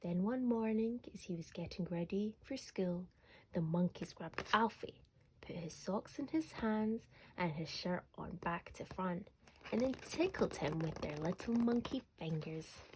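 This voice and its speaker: A woman storyteller narrating a children's bedtime story expressively, sometimes dropping to a hush. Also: lowish voice, a little slow, slight background noise, rough recording.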